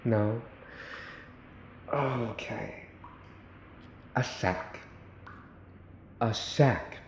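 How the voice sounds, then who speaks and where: A man playing a mafia boss's son in an ASMR roleplay, possessive and menacing, with theatrical sarcasm and mocking politeness. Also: lowish voice. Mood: incredulous, exasperated. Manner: theatrical, sarcastic, deliberate repetition, sighing. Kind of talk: ASMR boyfriend roleplay acting. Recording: roomy echo, faint background noise.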